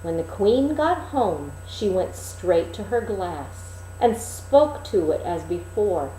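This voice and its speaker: A woman reading a fairy tale expressively, with deliberate, dramatic phrasing and emphasis. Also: fairly low pitch, big pitch swings, dark tone, reverberant, slight background noise, poor audio. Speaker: storyteller, reader.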